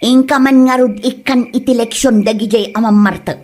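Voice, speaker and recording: A woman's voice: fairly high pitch, steady tone, normal speed, darkish tone, natural voice. A woman playing a bossy, scheming married woman in a comedy drama, with an emphatic, scolding way of talking. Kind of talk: comedy drama voice acting in Ilocano.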